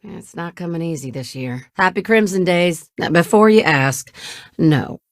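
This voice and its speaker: A woman's voice: lowish voice, expressive, a little slow, dry recording, studio quality. A woman voicing a brash, wry, hardworking shipwright in a video game, with a casual, folksy, bossy and teasing manner. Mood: wry, tired. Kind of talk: video game character dialogue.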